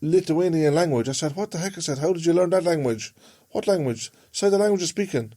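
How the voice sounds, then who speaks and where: A man's voice: fairly high pitch, expressive, brisk, warm tone. An Irishman co-hosting a podcast in casual conversation, telling things in a rambling way and repeating phrases for emphasis. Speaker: podcast co-host.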